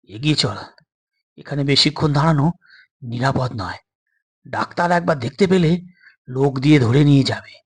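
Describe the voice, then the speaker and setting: A man's voice: mid-range voice, normal speed, pauses often, husky, close to an everyday voice. A man voicing an eager but wary young boy in an audio story, plain-spoken and earnest. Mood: urgent, wary.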